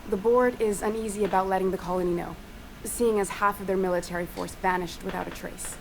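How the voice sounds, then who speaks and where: A woman's voice: medium pitch, steady tone, fairly quick, creaky, a little hiss or hum, lightly acted, poor audio. Earnest, weary woman scientist, played by an actress. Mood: guarded, worried. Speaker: scientist character in a video game. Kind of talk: video game dialogue acting.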